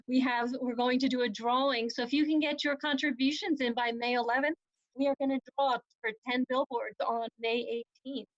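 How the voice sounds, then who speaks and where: A woman giving chatty club announcements on a virtual meeting and handing over to the next speaker. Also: fairly high pitch. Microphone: video call. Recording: dull video-call audio.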